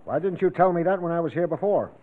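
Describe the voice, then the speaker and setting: Actor as a commanding sheriff, higher-pitched voice. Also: male voice, animated, fast talker, close to an everyday voice. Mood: suspicious. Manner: blunt, clipped, interrogating. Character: brisk, commanding, suspicious country sheriff. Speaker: actor in a crime radio drama. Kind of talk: classic radio drama acting. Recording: muffled, phone-like old radio recording.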